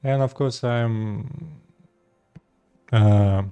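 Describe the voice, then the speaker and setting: A 36-year-old man from Russia with a Russian accent, speaking halting second-language English as he talks casually to his viewers. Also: mid-range voice, gravelly, dark tone, clean tone, dry recording. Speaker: vlogger. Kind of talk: casual personal talk to camera.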